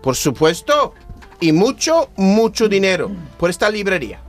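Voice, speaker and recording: Radio play villain for a male actor: very high-voiced Spanish-rich businessman, grumpy, scornful, grasping, music underneath. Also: sing-song, moderate pace. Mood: pushy, boastful. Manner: scornful, emphatic, repeats words for effect. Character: grumpy, greedy, domineering rich businessman buying up the town.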